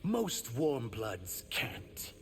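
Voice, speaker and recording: An actor playing a proud, guarded reptilian shapeshifter warrior in human form for a fighting game. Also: male voice, medium pitch, wildly swooping pitch, extremely slow, vocal fry, full character voice. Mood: defiant. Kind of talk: video game fight-intro dialogue.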